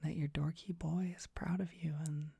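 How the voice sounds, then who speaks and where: A performer playing a dorky, gentle young dragon boy in an ASMR roleplay, speaking in a soft breathy whisper, tender and bashful. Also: medium pitch, flat delivery, moderate pace, dry recording. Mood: bashful, soothing. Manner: tender, bashful, hesitant, softly reassuring. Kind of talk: ASMR roleplay, intimate comforting monologue.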